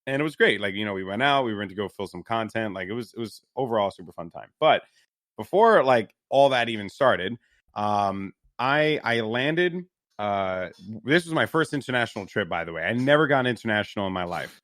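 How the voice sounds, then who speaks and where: A man's voice: a little fast, dry recording, clean studio sound. A young man from New York, a podcast co-host, telling a story casually and animatedly and lifting his voice when he quotes people. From New York, USA. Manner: animated storyteller, raises pitch when quoting others. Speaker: podcast co-host, esports commentator.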